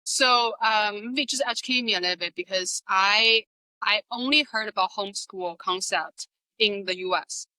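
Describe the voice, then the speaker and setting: A woman's voice: medium pitch, normal speed, pushed and bright, clean tone, poor audio. A woman from China hosting an interview podcast, moving between scripted introduction and conversational questions. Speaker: podcast host.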